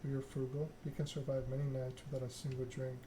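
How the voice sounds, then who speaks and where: A man's voice: mid-range voice, flat delivery, fast talker, noisy background, natural voice. A man voicing a refined, worldly female vampire mentor in a story game, speaking in a courteous, instructive way. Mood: grave, instructive.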